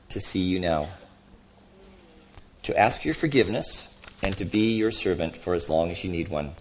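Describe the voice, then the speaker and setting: A man voicing a gentle, cheerful redeemed spirit in a storybook reading, patient, humble and lightly amused. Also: fairly low pitch, slight background noise.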